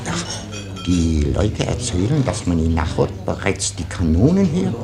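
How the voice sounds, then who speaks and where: A shady folk schemer in a radio play, with music behind him. His voice creaks and leaps dramatically up and down from a fairly high base. He drawls confidingly, sure of his crooked plans. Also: very animated, moderate pace. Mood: gloomy gossip. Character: shady, folksy male dog thief, scheming and cocksure.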